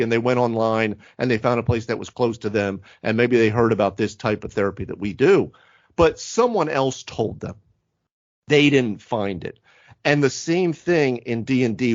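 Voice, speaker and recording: Male podcast co-host, casual and rambling, mid-range pitch, close dry sound with a dulled top end. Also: no echo, lo-fi sound. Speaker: physical therapist. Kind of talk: casual panel discussion about tabletop roleplaying games.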